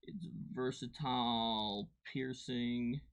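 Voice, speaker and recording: A man's voice: medium pitch, fairly even intonation, slow, gravelly, clean tone, no echo. A man chatting casually as a livestream co-host, thinking aloud as he explains tabletop game rules and works through numbers. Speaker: game designer co-hosting a livestream.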